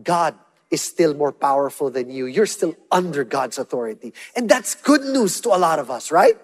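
High-pitched preacher in a reverberant hall. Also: male voice, expressive, normal speed. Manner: emphatic, swings between loud punchy lines and hushed soft ones. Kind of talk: sermon with call-and-response.

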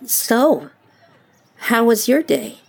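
A woman's voice: a little high, very animated, drawn-out words, creaky, exaggerated voice. A woman plays a weary young woman in a radio play. She is guarded and sceptical, dry and curt, with widely varied intonation. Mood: weary, reserved. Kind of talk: audio drama acting.

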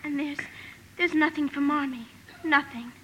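A high, bright little voice of a timid, sweet young girl. She is hesitant, in 1950s radio drama style, on an old recording with faint noise. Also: steady tone, moderate pace, poor audio. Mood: wistful.

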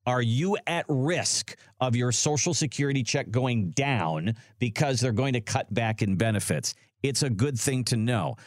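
A man in his sixties hosts a financial radio show in a chatty, lively voice. The sound is dry and close to the mic. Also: mid-range voice, a little slow, hardly any pauses, no echo. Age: 60s. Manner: lively, chatty, acts out his own thoughts. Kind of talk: casual radio talk and ad reads.

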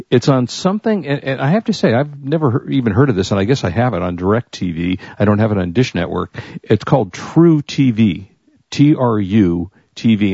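An American man hosting a radio show in casual chat, talking in a halting, wordy way and often repeating himself. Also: on the low side, gravelly, dark tone, no echo, dull audio.

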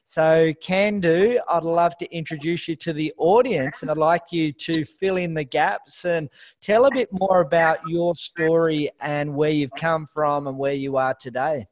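A man's voice: on the high side, steady tone, a little slow. A man hosting a podcast, introducing his guest with enthusiasm. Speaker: podcast host. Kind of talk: podcast interview.

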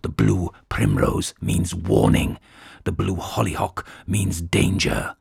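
A man voicing an ominous fortune teller woman, delivering slow, low, whispered warnings. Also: sing-song, gravelly, darkish tone, slightly rough, heavily acted.

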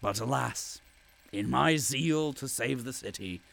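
A man's voice: medium pitch, measured pace, gravelly, fairly bright tone. A man playing a pompous, zealous bureaucrat who delivers florid, self-satisfied narration in audio drama style. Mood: smug, grandiose. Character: pompous, zealous male propaganda bureaucrat narrating his chronicle. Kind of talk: theatrical scripted narration.

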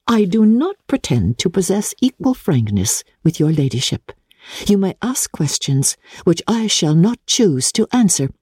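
A woman voicing a proud, composed young gentlewoman in a storybook reading, politely firm and coolly defiant. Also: lowish voice, very animated, husky, no echo, clean studio sound, voice pushed up, heavily acted. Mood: calm defiance. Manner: cool, measured, politely firm.

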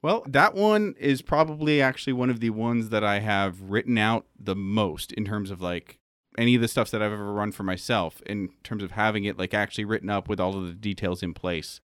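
A man's voice: fairly low pitch, a little fast, a bit bright, clear, resonant tone, no room sound, clean studio sound. A man chatting casually as a game keeper on a podcast, explaining things in a hesitant, rambling way. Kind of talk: casual chat.

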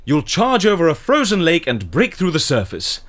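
A man playing an eccentric, witty and excitable fixer in an audio drama, with theatrical, wry delivery. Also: a little high, moderate pace, full character voice. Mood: wry, negotiating.

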